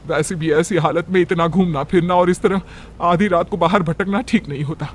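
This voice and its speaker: Man acting a fussing, high-voiced older aunt, noisy background. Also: steady tone, normal speed, close to an everyday voice. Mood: reassuring. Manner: concerned, questioning, fussing. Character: worried, caring older village aunt. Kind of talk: acted storybook dialogue.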